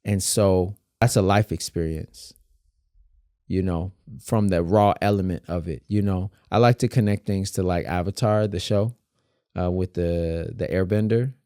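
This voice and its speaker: A man's voice: low-pitched, a little slow, dark tone, smooth, no room sound, studio quality. A man guest on a podcast, talking casually and emphatically about dance, building his points through vivid comparisons. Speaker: dance teacher and battle judge, guest. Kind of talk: casual conversational interview.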